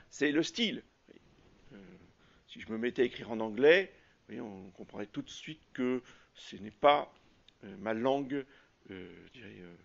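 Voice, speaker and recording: A man preaching a sermon as a priest, halting often with fillers and restarts. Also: mid-range voice, gravelly, lo-fi sound. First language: French.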